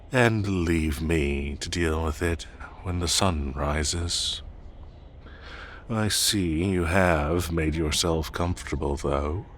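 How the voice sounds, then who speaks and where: Casting: male actor for a possessive, menacing fae forest ruler in audio roleplay; low-pitched, extremely unhurried, condescending drawl. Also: a little hiss or hum. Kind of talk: audio roleplay acting addressed to the listener.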